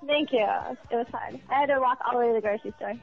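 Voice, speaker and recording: A woman's voice: on the high side, very fast, creaky. A woman chatting casually on the phone, eager and compliant, as a contest participant. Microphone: phone, partly on speakerphone. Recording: muffled lo-fi phone call with music.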